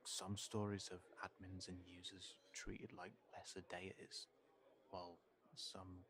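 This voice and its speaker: Casting: a man as a careful, worrying fantasy companion, deep-leaning voice, unhurried halting delivery, intimate one-to-one audio drama. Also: level tone, a bit bright. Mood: worried, hesitant. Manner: hesitant, halting, thoughtful, trailing off. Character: cautious, worried man in a fantasy world, protective of companion. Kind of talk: intimate audio roleplay acting to one listener.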